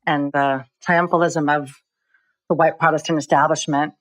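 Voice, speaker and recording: A woman from the United States answers interview questions in a measured, articulate way. Her voice sits very low and stays level, and the recording is dry and close. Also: steady tone, dry recording. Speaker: documentary filmmaker guest.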